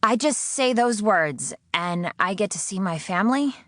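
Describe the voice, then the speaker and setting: A woman's voice: medium pitch, lively intonation, unhurried, a bit bright, pitched above the performer's own voice. Woman playing a weary teen girl captive in an audiobook, guarded, dry sarcasm, defiant, no room echo. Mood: cautious, probing. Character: defiant, weary nineteen-year-old female prisoner. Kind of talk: audiobook character acting.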